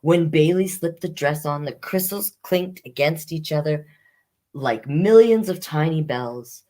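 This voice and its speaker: A woman hosting a children's storytime, reading picture books aloud expressively and adding chatty asides. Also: low voice. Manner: expressive, unhurried, comments on the story as she reads.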